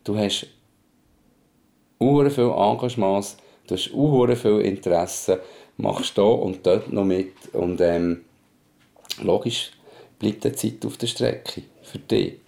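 Guy podcast co-host, lowish creaky voice, relaxed chat. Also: slow pace, long pauses. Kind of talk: casual conversation.

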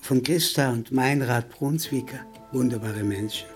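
An actor in a radio play playing a nervous, bitter violinist posing as his brother, with halting, stammering speech. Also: mid-range voice, faint music behind, lightly acted. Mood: wistful. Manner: halting, stammering, self-interrupting.

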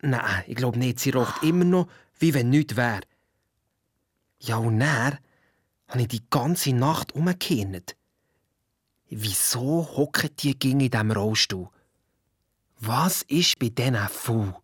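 An actor with a Swiss accent playing a young amateur detective, retelling events colloquially and excitedly in a radio play. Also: medium pitch, takes it slowly, long pauses, breathy edge. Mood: puzzled. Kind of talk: radio play storytelling in first person.

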